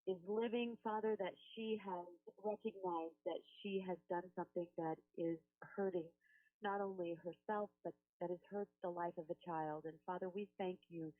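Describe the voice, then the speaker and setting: A woman's voice: on the low side, steady tone, moderate pace. A woman working as a helpline phone coach, talking a caller through in a soothing, reassuring way and praying with her. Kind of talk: counseling phone call and spoken prayer. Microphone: telephone. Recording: tinny phone-line recording.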